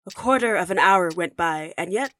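A woman narrating an audio drama as a storyteller, with measured, expressive scripted delivery. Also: fairly low pitch. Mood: storytelling. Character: storyteller narrating a classic Christmas ghost tale.

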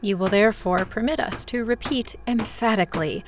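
A woman with a creaky voice narrating a theatrical scripted story. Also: on the high side, moderate pace. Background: music.

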